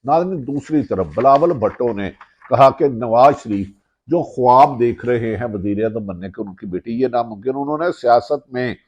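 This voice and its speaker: A man's voice: medium pitch, animated, normal speed, darkish tone, breathy edge. A man with a Pakistani accent delivering political news commentary as a channel host, with an emphatic way of piling up short phrases. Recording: dull, muffled lo-fi audio.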